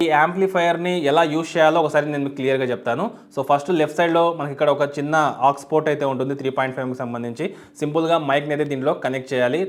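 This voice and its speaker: A man explaining a product step by step as a tech reviewer, in an unboxing video style. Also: on the high side. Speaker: YouTube tech reviewer and host.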